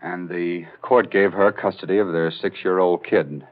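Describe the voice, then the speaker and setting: Man acting serious newspaper reporter, deep voice, grave clipped storytelling, vintage radio drama, tinny narrow recording. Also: steady tone, moderate pace, close to an everyday voice. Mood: grave narrating. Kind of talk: 1940s radio drama narration. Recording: thin, phone-like old radio recording.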